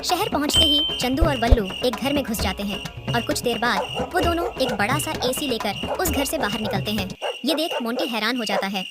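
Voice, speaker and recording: A woman's voice: on the high side, expressive, moderate pace. A woman storyteller reading scripted narration for a cartoon tale. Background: loud music.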